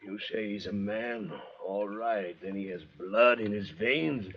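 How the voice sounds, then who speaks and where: An actor playing a threatening, suspicious and hot-tempered convict in an old-time radio drama, with theatrical delivery. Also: male voice, fairly low pitch, takes it slowly, a bit bright, exaggerated voice. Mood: menacing. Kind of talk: 1940s-50s radio drama acting. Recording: dull, hazy old radio recording.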